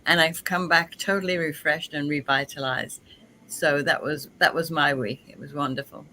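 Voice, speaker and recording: A woman's voice: deep voice, steady tone, moderate pace, sharp tone, slight background noise, rough recording. A woman with an Australian accent, co-hosting a casual talk show and chatting in a cheerful, effusive way. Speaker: co-host. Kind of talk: casual chat.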